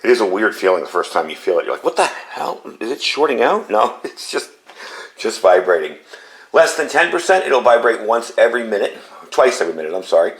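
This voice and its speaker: A man's voice: fairly low pitch, sing-song, brisk, reverberant. A man reviewing a flashlight in a casual, chatty way, with a scratchy voice from a cold, often checking in with "okay?". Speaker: product reviewer. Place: home garage and yard.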